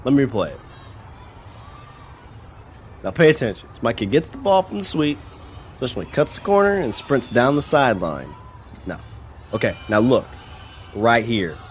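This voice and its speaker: A man's voice: medium pitch, sing-song, moderate pace, pauses often, a little hiss or hum, close to an everyday voice. An actor playing a nervous small-town father who stammers and hesitates in an audio drama. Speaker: witness.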